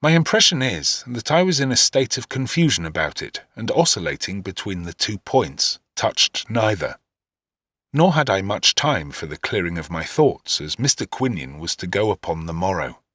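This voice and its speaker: Deep, expressive male audiobook narrator. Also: moderate pace, no room sound, clean studio sound. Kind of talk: first-person literary narration, expressive.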